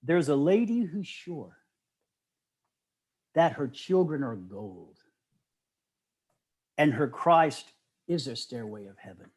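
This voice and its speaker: A middle-aged man telling stories casually as an interview guest, with an animated, enthusiastic manner. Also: a little high, slow, pauses often.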